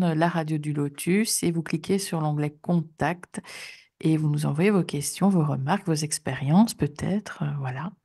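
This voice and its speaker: Deep-voiced woman co-hosting a radio show. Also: dark tone. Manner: casual, halting with fillers. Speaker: radio co-host.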